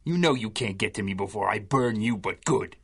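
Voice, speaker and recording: A man's voice: lowish voice, animated, brisk, slightly rough, heavily acted. An actor playing a sneering, gloating supervillain henchman who talks in gangster slang, in a 1930s-style radio serial. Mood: threatening. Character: sneering male supervillain henchman with flame powers.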